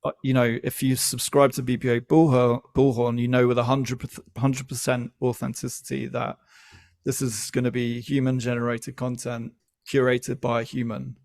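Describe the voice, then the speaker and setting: A man speaking as a podcast guest, explaining his views in a measured, deliberate, conversational way. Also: medium pitch, little pitch movement, vocal fry, a little mellow. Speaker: podcast guest, newsletter founder. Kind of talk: conversational interview.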